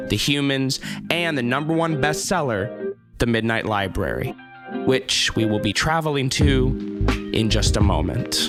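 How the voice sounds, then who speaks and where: A man hosting a radio theater podcast, narrating from a script in a measured storyteller's delivery. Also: medium pitch. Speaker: podcast host. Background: musical score.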